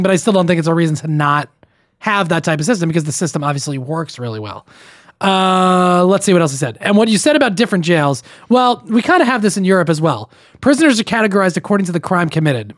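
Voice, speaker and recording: A man's voice: sits high, no room sound, clean studio sound. A young man co-hosting a podcast, reading listener emails aloud and breaking in with his own comments. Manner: reads emails aloud with running commentary, frequent restarts. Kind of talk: casual podcast chat.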